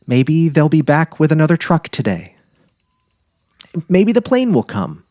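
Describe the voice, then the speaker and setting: A man's voice: on the high side, wildly swooping pitch, unhurried, darkish tone, no room sound, studio quality, voice pushed up. A man voicing an anxious, pleading wife of a dying writer in a dramatic literary reading. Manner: pleading, nervous, insistent.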